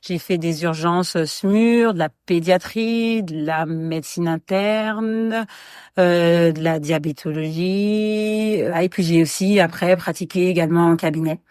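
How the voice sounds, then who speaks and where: A woman's voice: on the low side, unhurried, a little mellow, smooth, no echo, clean studio sound. A woman doctor talking about her work in a podcast interview, hesitant, with many fillers and restarts. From France, raised in Guadeloupe. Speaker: medical regulator doctor, guest.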